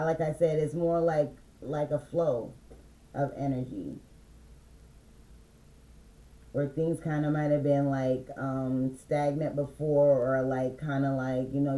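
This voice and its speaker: A man giving a relaxed, meandering spiritual card reading, reading affirmations aloud slowly, with many fillers. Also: medium pitch, echoey room, poor audio. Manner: slow, reads affirmations aloud. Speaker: spiritual reader.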